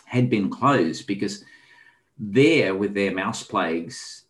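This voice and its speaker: A man's voice: on the low side, animated, slow. An Australian man telling a long travel story in casual chat, with many ums and restarts. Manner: rambling with frequent ums and restarts. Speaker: guest.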